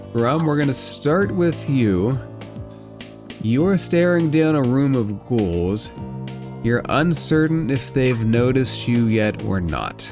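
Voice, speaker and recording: A man narrates a tabletop fantasy game as its game master. His pace is slow and his pitch sits in the middle. Faint music plays behind him.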